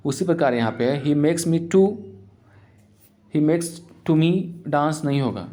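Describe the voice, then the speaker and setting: Slow, deliberate teacher, man. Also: fairly high pitch, dark tone. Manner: explains in Hindi, reads English example sentences slowly and deliberately. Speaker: English teacher. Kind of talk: exam-prep grammar lesson.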